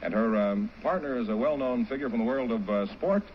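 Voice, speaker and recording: A man's voice: medium pitch, fairly quick, a little hiss or hum. An American man announcing a show in a 1950s broadcast style. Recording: muffled vintage broadcast with music.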